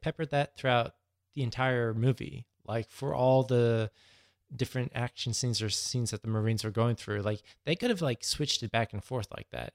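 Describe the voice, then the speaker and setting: Man, creaky voice, mid pitch, casual podcast talk, frequent "like" as filler. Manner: peppers speech with "like". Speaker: podcast co-host. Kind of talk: casual film discussion.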